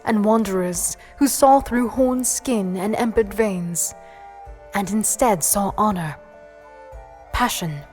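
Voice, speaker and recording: A woman playing an earnest storyteller, giving measured, deliberate scripted narration of a dark fantasy tale. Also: medium pitch, natural voice.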